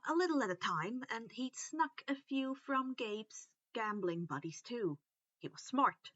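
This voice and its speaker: A woman reading a story aloud as an animated narrator, in storybook style. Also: on the high side, dry recording, muffled recording. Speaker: fan fiction narrator.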